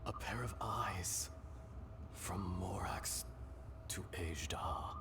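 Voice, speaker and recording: A man playing an ancient, weary dragon speaking through a human body in a video game, in formal, measured, wistful speech. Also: low-pitched, noisy background. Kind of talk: scripted video game acting.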